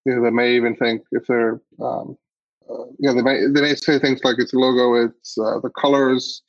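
A man's voice: medium pitch, little pitch movement, normal speed, no echo, muffled recording. A man from Iceland answering interview questions as a guest, picking his words hesitantly and thoughtfully. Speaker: podcast guest, agency CEO.

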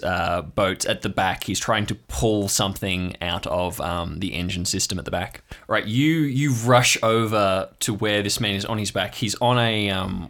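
A man's voice: low voice, a bit bright. A man narrating as game master in an improvised tabletop story, describing scenes in an informal, conversational way.

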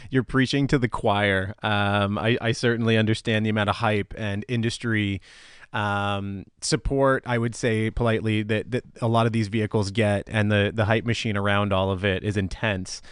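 A man's voice: fairly low pitch, flat delivery, moderate pace, smooth, dry recording. Dry-sounding male podcast host. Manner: encouraging, polite, hesitant phrasing. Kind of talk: casual podcast interview.

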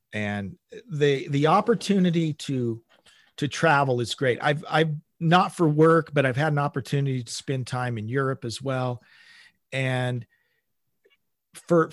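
A middle-aged man talking conversationally as an interview guest, in halting bursts with repeated words. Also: medium pitch, no echo, clean studio sound. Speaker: interview guest, manager at a global company.

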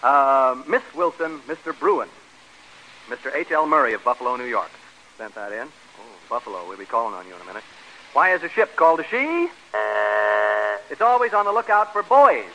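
A man's voice: fairly high pitch, brisk, pushed and bright. A man hosting an old radio game show, jovial and teasing, often stammering as he banters with contestants. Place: radio show stage before an audience. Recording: noisy old radio broadcast.

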